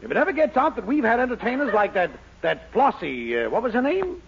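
A man's voice: very high voice, very animated, a little fast, creaky, exaggerated voice. An American man playing a flustered, henpecked middle-aged store boss in 1940s radio comedy style, fussy and agitated. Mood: panicky. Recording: muffled, noisy 1940s radio recording.

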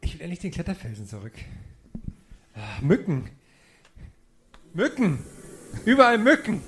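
Man playing an eager, high-voiced boy detective. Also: huge pitch swings, unhurried, fairly bright tone, slight background noise, exaggerated voice. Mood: annoyed. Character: eager boy detective in a kids' mystery parody. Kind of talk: live comedy radio play acting.

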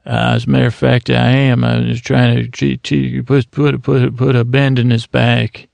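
A man voicing a gruff, stubborn old farmer who talks in curt, folksy scolds. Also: mid-range voice, level tone, moderate pace, darkish tone, no room sound.

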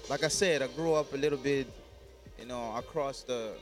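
Male, mid-twenties, mid-pitched, podcast guest from Congo. Loose, slangy conversational delivery with music behind. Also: moderate pace. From Congo, grew up across Africa. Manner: slangy, frequent "you know what I mean" tags. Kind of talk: casual interview chat.

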